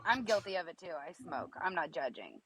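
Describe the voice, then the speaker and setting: A woman in her thirties with a raspy voice, asking probing, somewhat hesitant questions in a live video chat. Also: on the low side, big pitch swings, brisk, rough recording. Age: 30s.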